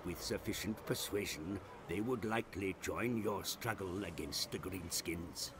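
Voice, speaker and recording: A man's voice: low voice, unhurried, background noise. An actor playing a grave, loyal advisor to a dwarf king, speaking solemn, formal lines in a fantasy video game. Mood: grave, urging. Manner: formal, solemn, archaic phrasing. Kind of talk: scripted fantasy game narration.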